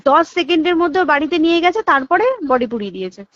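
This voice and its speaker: High-pitched, emphatic young Bengali woman on phone. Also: expressive, fast talker, fairly bright tone, dry recording. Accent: West Bengal Bengali. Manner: emphatic, insistent, repeats rhetorical questions. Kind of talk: casual phone conversation. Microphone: phone call. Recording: dull lo-fi phone call recording.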